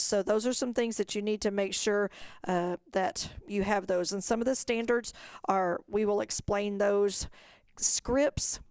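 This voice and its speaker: Lady explaining rules on a webinar, polite, clear smooth voice, very dry sound. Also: mid-range voice, no echo. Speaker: director of fine arts, presenter. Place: office, online webinar.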